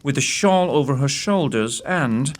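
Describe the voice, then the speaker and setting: A man's voice: mid-range voice, animated, a little slow, natural voice. A man playing a self-assured master detective in an audio drama, reasoning in precise, formal phrases. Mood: analytical. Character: brisk, self-assured male master detective, analytical and urbane. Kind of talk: radio-play acting.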